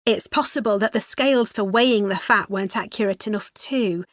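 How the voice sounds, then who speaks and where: A woman's voice: medium pitch, a little fast, no room sound, studio quality, lightly acted. A woman playing a female university student in a scripted educational dialogue. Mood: studious, matter-of-fact. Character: female university student discussing a joint presentation.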